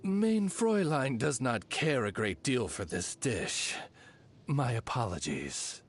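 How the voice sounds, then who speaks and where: Oz, a courtly, expressive raven butler. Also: male voice, mid-range voice, slow, slightly rough, exaggerated voice. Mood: polite, dry. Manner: formal, polite, deadpan. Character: courtly male raven familiar, dignified, dryly deferential butler. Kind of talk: anime game character voice lines.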